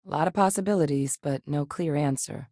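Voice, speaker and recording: Woman scientist, sci-fi game character, lowish pitch, grave, earnest, articulate, measured delivery, dry studio sound. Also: dark tone, dry recording. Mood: serious, matter-of-fact. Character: grave, dedicated woman scientist in a space-faring military. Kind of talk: video game character dialogue.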